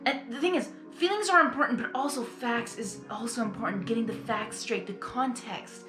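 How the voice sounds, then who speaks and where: A woman's voice: fairly high pitch, normal speed, fairly bright tone. A woman hosting a casual reaction video, rambling and exclamatory, often repeating her phrases. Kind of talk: casual emotional reaction commentary. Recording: roomy sound with faint music. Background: faint music.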